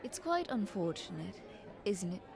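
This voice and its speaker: A woman playing a scheming, flattering queen in an audio roleplay, speaking in a haughty, ornate courtly manner. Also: medium pitch, unhurried, gravelly, crowd noise. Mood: insinuating, condescending. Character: visiting queen, scheming, flattering, condescending royal negotiator.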